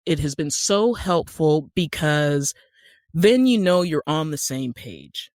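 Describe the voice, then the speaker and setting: A man's voice: a little high, animated, slow pace, no echo, studio quality. An American man from Utah, a podcast guest who works as an actor and screenwriter, explaining things conversationally. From Utah, USA.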